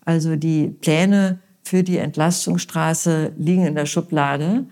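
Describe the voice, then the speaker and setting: A woman from Westphalia answering interview questions as a candidate, earnest and thoughtful, often pausing with fillers and restarts. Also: low-pitched, steady tone, dark tone. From Westphalia, Germany. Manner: earnest, hesitant with many fillers. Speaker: mayoral candidate.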